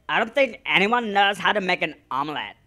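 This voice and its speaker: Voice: medium pitch, lively intonation, fairly quick, sharp tone. An actor voicing a skeptical, bossy little sister in a cartoon, with a blunt, demanding manner. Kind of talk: cartoon voice acting.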